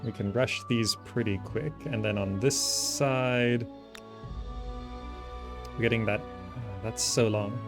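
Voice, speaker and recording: A man's voice: medium pitch, fairly even intonation, slow pace, long pauses. Casual man commentating over game music. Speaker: gaming video host. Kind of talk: casual gameplay commentary, thinking aloud.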